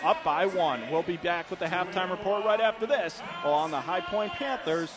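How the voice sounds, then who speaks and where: A man's voice: high-pitched, normal speed. A man doing live radio play-by-play and commentary on a basketball game from an indoor arena.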